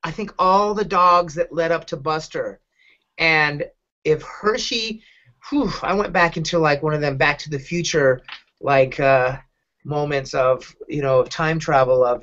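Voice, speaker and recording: Halting middle-aged man, dull lo-fi audio. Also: on the high side, a bit bright. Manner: halting, candid, rambling. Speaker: podcast host, dog advocate. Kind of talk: casual personal storytelling.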